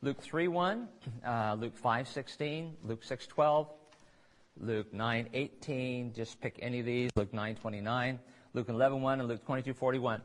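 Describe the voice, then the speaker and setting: A man preaching a conversational sermon, pausing for asides and restarting phrases as he works through scripture. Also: medium pitch. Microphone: recorded on tape in a church.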